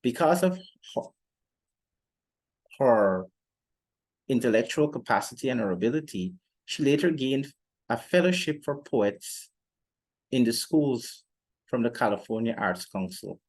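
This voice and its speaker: Young adult male, medium pitch, literature-teacher type: formal, measured phrasing, explaining to camera. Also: lively intonation, darkish tone. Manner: formal, deliberate phrasing with frequent pauses. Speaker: literature teacher presenting a lesson. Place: indoor room, seated before a plain wall.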